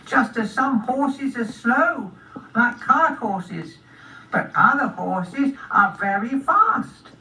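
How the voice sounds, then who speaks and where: A woman's voice: a little high, lively intonation, pushed and bright, pitched above the performer's own voice, full character voice. A woman voicing a polite, proud little snail in a children's story, drawing out the words courteously. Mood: polite, deliberate. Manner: drawn-out, courteous. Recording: roomy, distant, faintly noisy recording.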